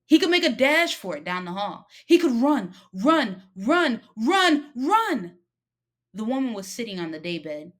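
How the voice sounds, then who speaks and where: A woman narrating a short story expressively, with dramatic pauses and emphasis. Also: fairly high pitch, big pitch swings, fairly bright tone. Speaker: story reader.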